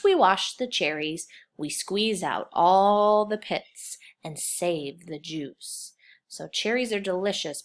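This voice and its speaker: A woman's voice: on the low side, fairly bright tone. A woman reading a children's picture book aloud as a kindergarten teacher, expressively, with simple phrasing and questions put to young listeners.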